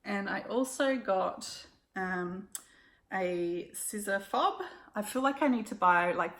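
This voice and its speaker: An Australian woman with a croaky, hoarse voice, chatting casually through a stitching haul with lots of ums and little laughs. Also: fairly low pitch, roomy sound. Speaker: cross-stitch vlogger. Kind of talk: casual show-and-tell recap.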